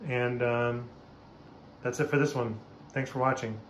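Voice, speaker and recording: A middle-aged American man talking casually and haltingly, unscripted, like a hobbyist hosting a vlog in a small room. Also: mid-range voice, flat delivery. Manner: rambling, informal, halting. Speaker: video host, hobbyist speaker restorer. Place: small bathroom indoors. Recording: echoey small room, background noise.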